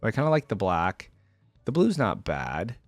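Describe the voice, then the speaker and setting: A man's voice: lowish voice, wildly swooping pitch, measured pace, dry recording, clean studio sound, soft background music. A man giving casual, unscripted reaction commentary as a video host, mulling over his opinions aloud in informal language.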